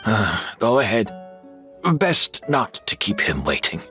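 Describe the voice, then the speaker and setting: A gentle mentor facing hard times, speaking low and unhurried with a bright edge and breathy asides. Faint music sits under the game dialogue. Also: male voice, very animated, exaggerated voice. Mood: relieved. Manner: soft-spoken, slow, breathy asides. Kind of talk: video game voice acting.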